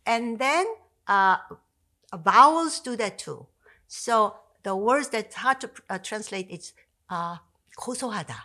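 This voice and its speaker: Bright-voiced older Korean woman professor. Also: mid-range voice, unhurried. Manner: animated, gesturing, many fillers and restarts. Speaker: Korean language professor, guest. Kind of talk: conversational explanation. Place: home study with bookshelves. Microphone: podcast mic on stand.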